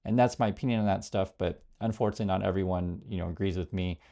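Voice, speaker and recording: Gravelly-voiced man talking politics. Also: sits low, fast, mellow. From Vietnam. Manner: rambling, many fillers and restarts, reads viewer comments aloud. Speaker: political commentary host. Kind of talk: casual direct-to-camera commentary.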